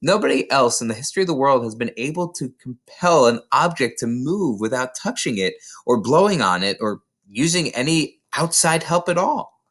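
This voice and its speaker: A man plays a kindly woman schoolteacher from a children's storybook. The voice is gentle and earnest, lifted in pitch, with lively rises and falls. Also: normal speed. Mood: earnest, intrigued.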